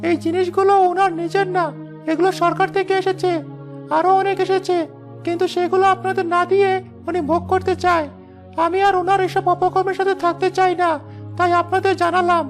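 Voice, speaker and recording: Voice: extremely high pitch, little pitch movement, a little fast. An actor voicing a headman's young helper, earnest and righteous, in a cartoon. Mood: urgent warning. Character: headman's indignant young helper turned whistleblower. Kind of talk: cartoon voice acting. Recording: music behind the voice.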